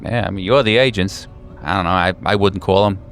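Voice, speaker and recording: A man playing a weary, hardened veteran handler in a tabletop roleplay, talking in a halting, offhand way that trails off. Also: low-pitched, a bit bright, a little hiss or hum. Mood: jaded, hedging. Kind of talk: improvised tabletop roleplay dialogue.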